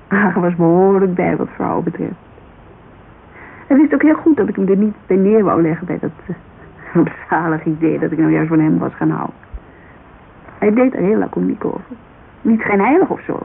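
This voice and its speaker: A muffled radio play recording with steady hiss. a bereaved woman with a creaky voice. She confides in a halting, colloquial way, full of self-reproach. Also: fairly low pitch. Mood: wry, reflective. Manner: hesitant, colloquial, confessional. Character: grieving, self-reproachful woman confiding about her dead lover. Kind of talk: naturalistic radio drama dialogue.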